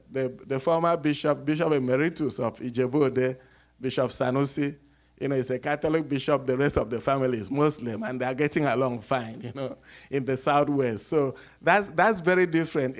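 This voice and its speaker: Higher-voiced man giving a panel talk. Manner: measured, many fillers and restarts. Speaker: panel speaker. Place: conference room.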